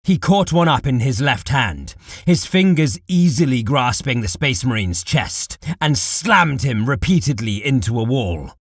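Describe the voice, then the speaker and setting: A man narrating dark war fiction as a scripted audiobook, in a deliberate, dramatic manner. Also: mid-range voice, expressive, dry recording, clean studio sound.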